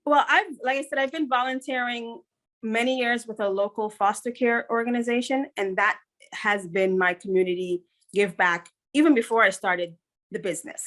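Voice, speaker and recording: A middle-aged woman from Guyana talks as a guest on an interview podcast. Her voice sits a little high. She is earnest and upbeat and often repeats a phrase to drive it home. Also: steady tone, moderate pace, a bit bright. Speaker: guest, business consultant.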